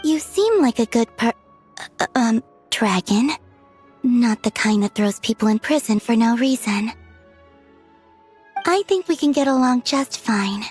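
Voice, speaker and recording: A woman's voice: a little high, lively intonation, measured pace, pauses often, a little mellow, breathy edge, soft background music. A woman voicing a serene young female game character who speaks in a candid, naively direct way. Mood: friendly, candid. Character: serene, innocently blunt young female former villain. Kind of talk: video game voice acting.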